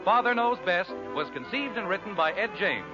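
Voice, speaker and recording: Lively American old-radio announcer man. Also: high-pitched, normal speed. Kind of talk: 1940s radio scripted announcing. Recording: muffled old radio recording with music.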